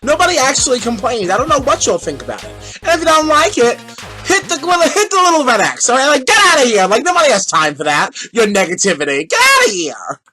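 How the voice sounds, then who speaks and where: A man hosting a casual vlog, talking in a sassy, giggly, animated way while he has a cold. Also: very high-pitched, fast talker, faint music behind. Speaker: vlog host.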